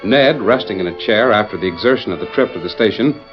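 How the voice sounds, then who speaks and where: An actor as the dramatic narrator of an old-time western radio serial, reading scripted story links. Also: male voice, lowish voice, animated, fast, natural voice. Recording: muffled old radio broadcast. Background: loud orchestral music.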